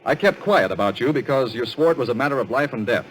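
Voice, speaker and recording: A man's voice: medium pitch, animated, fast talker, slight background noise, close to an everyday voice, low-quality recording. An actor playing an earnest, puzzled man in a radio murder mystery, asking blunt questions. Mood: puzzled, insistent. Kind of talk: old-time radio mystery acting.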